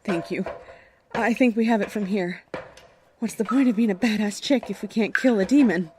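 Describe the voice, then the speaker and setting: A woman's voice: medium pitch, normal speed, over music, natural voice. A woman playing a brash, sardonic young heroine in a horror audio drama, sounding exasperated. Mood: wary, defiant.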